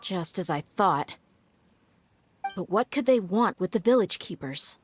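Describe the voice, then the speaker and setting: A woman's voice: mid-range voice, animated, a little slow, slight background noise, close to an everyday voice. A woman voicing a confident, determined young mercenary in a video game, assertive and direct.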